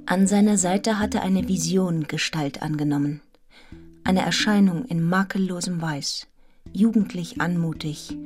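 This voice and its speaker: An earnest, observant young schoolmistress, played by an actress, recounts her life for a radio drama. Her medium-pitched delivery is measured, reflective and articulate, with music clearly audible behind it. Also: lightly acted. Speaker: lead actress and narrator. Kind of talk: literary radio drama narration.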